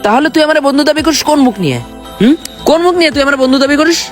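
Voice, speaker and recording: A man's voice: very high-pitched, expressive, very fast, over music. A young man with a rural Bangladeshi accent playing a reproachful, pleading son in an amateur drama. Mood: angry. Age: young adult. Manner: reproachful, insistent. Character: upset, pleading young son scolding his smoker father. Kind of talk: amateur Bengali short drama acting.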